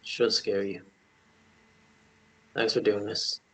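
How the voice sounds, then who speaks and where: A man plays a somber, self-sacrificing figure in a romance drama. His speech is slow, terse and subdued, in a lowish, husky voice. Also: flat delivery, a bit bright, heavily acted, poor audio. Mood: somber, resigned. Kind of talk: dramatic table read of a romance screenplay.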